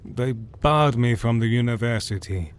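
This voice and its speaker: A man playing a slow, earnest old doctor. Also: mid-range voice, clean tone, natural voice. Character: learned older male doctor, vampire hunter. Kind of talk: audio drama acting.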